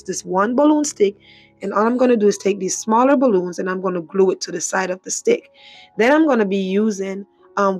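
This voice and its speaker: A woman whose voice is worn hoarse talks through a home craft tutorial in a loose, chatty way. She circles back with "so" and "again" as she explains each step. Faint background music plays beneath her. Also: medium pitch. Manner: chatty, repeats herself, frequent "so" and "again". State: hoarse, voice mostly gone. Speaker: craft channel host. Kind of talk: casual tutorial voice-over.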